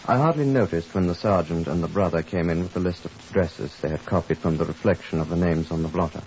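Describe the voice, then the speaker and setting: Actor as deep-voiced gruff police superintendent. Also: male voice, a little mellow, close to an everyday voice. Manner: curt, authoritative. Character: gruff, commanding Scotland Yard police superintendent, curt and impatient. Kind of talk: 1950s radio drama acting and first-person narration. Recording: muffled, hissy 1950s radio recording.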